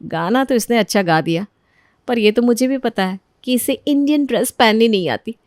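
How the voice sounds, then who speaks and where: A woman's voice: on the high side, expressive, normal speed, darkish tone, dry recording, clean studio sound, natural voice. A woman voicing a scheming, mocking young elder sister-in-law in a storybook tale, sugary and gloating. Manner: sugary false praise, gloating asides.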